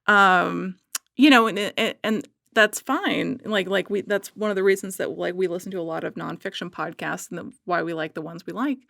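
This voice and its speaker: A woman talking casually as a podcast guest, rambling a little and haltingly as she thinks aloud. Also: lowish voice, gravelly, fairly bright tone, no room sound.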